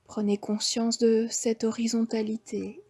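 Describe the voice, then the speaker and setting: Slow soft-spoken French woman guiding relaxation. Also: a little high, flat delivery, husky. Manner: slow, soft, half-whispered breathing cues. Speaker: yoga nidra teacher. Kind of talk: guided relaxation instruction.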